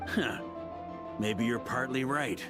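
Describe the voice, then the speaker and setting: An actor playing a battle-weary but confident knight in a video game, with wry, defiant, dramatic delivery. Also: male voice, mid-range voice, takes it slowly, loud music behind the voice, natural voice. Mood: wry, composed. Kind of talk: scripted video game voice acting.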